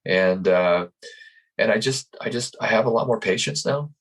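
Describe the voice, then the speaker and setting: A man in his fifties, a life coach, giving calm and earnest interview answers. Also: lowish voice. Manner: calm, earnest, speaks slowly in thought.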